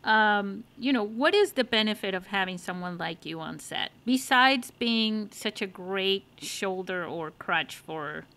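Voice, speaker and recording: A woman's voice: mid-range voice, lively intonation, measured pace, hardly any pauses, pushed and bright. A woman hosting a casual podcast interview, informal and feeling her way through questions. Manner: informal, searches for wording as she asks. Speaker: podcast host.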